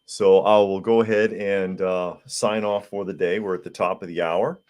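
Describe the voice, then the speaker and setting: Hoarse-throated man hosting a live podcast. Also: deep voice, steady tone, normal speed, a little mellow. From United States. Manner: plain-spoken, reads viewer comments aloud. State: sore throat, clearing throat. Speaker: podcast host reading live chat. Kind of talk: casual live-stream chat.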